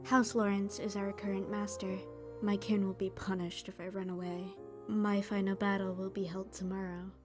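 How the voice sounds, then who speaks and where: A woman's voice: mid-range voice, flat delivery, moderate pace, close to an everyday voice. A woman playing a proud young slave warrior in a comic dub, speaking solemnly, formally and bluntly over music. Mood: resolute. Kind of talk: dramatized fan comic dub.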